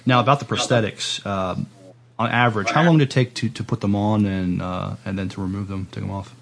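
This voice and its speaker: A man hosting a casual podcast interview, with hesitant, halting phrasing. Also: on the low side, steady tone, creaky. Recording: noticeable background noise.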